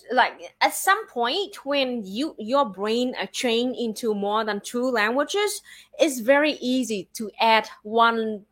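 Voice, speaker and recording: A woman's voice: a little high, fairly bright tone. A woman with a Vietnamese accent, a native Vietnamese speaker talking casually in English, filling her speech with "like" and restarting her sentences. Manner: chatty, with many filler likes and restarts. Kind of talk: casual chat.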